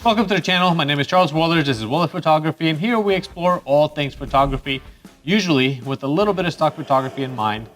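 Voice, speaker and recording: A man from Costa Rica hosting his photography channel in a chatty, upbeat way, with bursts of excitement. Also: fairly high pitch, fast talker, faint music behind. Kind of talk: casual YouTube presenting.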